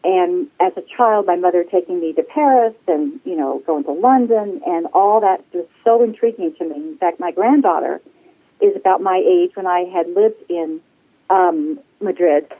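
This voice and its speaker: A woman's voice: fairly low pitch, moderate pace. A woman in her sixties from the United States, a guest talking in a bubbly, casual way and laughing often. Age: 60s. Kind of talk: casual interview. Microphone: phone call. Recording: tinny phone line.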